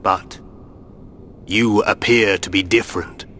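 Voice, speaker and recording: Slow, low, solemn machine-race leader. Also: male voice, steady tone, bright, forceful tone, slight background noise. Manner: formal, measured, grave. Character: solemn, bitter male leader of a machine people. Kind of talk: dramatic video game acting.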